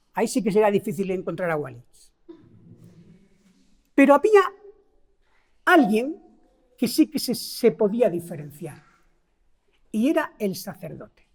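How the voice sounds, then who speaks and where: A woman's voice: mid-range voice, a little mellow. A middle-aged woman from Spain with a Spain Spanish accent, preaching a sermon, asking the congregation rhetorical questions and stressing key words.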